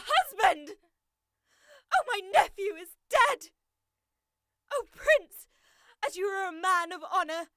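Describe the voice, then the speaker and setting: A woman's voice: very high voice, bright, forceful tone, no echo, clean studio sound, exaggerated voice. An actress playing an anguished, vengeful noblewoman, melodramatic and demanding, in a modernized Shakespeare audio drama. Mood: wailing grief. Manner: wailing, melodramatic.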